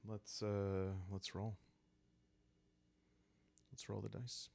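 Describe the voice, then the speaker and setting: A man calmly narrating a solo role-playing game in a hushed, relaxing way, musing aloud as he goes. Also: fairly low pitch, drawn-out words, gravelly.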